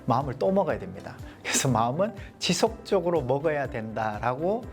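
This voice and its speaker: Male coach with a softened Gyeongsang accent; lively, explanatory lecture delivery. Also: medium pitch, a little fast, soft background music. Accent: Gyeongsang Korean, mostly softened. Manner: poses rhetorical questions, explanatory. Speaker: leadership coach. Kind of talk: lecture to a small group.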